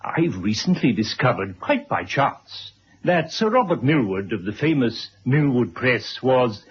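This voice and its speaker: An actor playing a genial, garrulous retired headmaster, formal and wordy, in a 1970s radio drama. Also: male voice, medium pitch, sing-song, a little slow, slightly rough, exaggerated voice. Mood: chuckling, self-important. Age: older. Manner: formal, wordy, chuckling asides. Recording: dull old radio drama recording.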